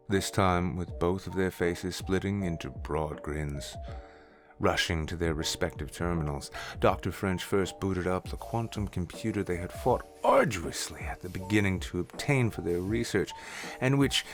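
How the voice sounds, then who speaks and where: A man narrating a scripted story in the third person. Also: sits low.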